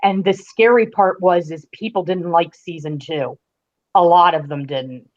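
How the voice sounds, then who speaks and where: A woman's voice: fairly low pitch, unhurried. Dull lo-fi recording of an emphatic woman. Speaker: guest TV critic on a fan podcast. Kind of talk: casual podcast discussion. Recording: dull, lo-fi call audio.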